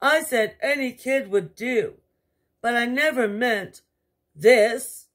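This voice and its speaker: An earnest, fretful king from a children's storybook, played by a woman. The voice is husky and emphatic, with theatrical, unhurried phrasing. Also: on the high side, pitched above the performer's own voice. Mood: wailing.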